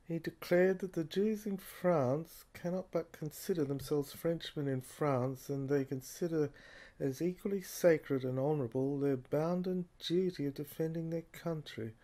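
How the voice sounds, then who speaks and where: A man playing a dry, muddled police officer in an amateur audio drama, muttering haltingly as he puzzles through files. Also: on the high side, mellow, natural voice. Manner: halting, muttering, often clearing throat. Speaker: actor in a serial audio drama.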